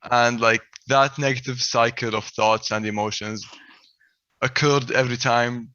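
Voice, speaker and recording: A man's voice: mid-range voice, steady tone, measured pace, pushed and bright. Young man with a heavy stutter. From Saudi Arabia. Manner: stutters heavily, repeating first sounds. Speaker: speaker at a stuttering event. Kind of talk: personal talk with slides. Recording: dry, slightly dull sound.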